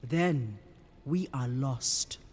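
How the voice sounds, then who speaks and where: A woman playing a wise, commanding priestess in a fantasy game, speaking in a solemn, measured way. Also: very deep voice, expressive, a little mellow, breathy edge, exaggerated voice. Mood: solemn, assured. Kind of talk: cinematic fantasy game acting. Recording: long hall-like reverb.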